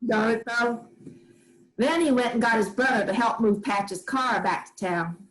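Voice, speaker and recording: A woman's voice: a little high, measured pace, natural voice, low-quality recording. A woman playing a folksy old grandmother who tells family stories in a drawling, homespun way. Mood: fond. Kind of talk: staged play reading.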